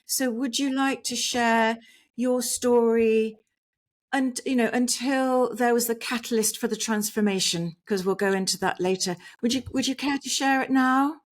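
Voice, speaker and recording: Woman host, bit high-pitched, online interview, gushy intros, call audio. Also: steady tone, normal speed. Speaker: podcast host. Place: home in England. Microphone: video call.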